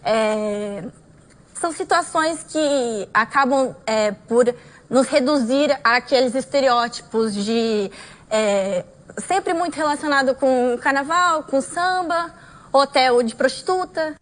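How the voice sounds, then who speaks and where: A woman's voice: sits high, takes it slowly, a bit bright. A woman with a Brazilian Portuguese accent speaking hesitantly as a debate guest. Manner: hesitant, searching for words.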